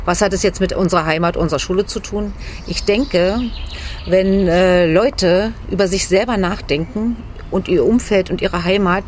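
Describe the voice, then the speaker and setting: A woman's voice: on the low side, moderate pace, a little hiss or hum. A middle-aged woman, a school social worker, answering interview questions outdoors into a handheld mic in an earnest, reflective way, sometimes searching for words. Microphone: handheld mic held by interviewer.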